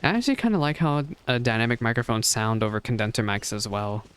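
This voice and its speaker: A man's voice: mid-range voice, very animated, quick pace, fairly bright tone, clean tone. A man streaming casual reaction commentary on a game into a dynamic microphone, chatty and often interrupting himself with asides.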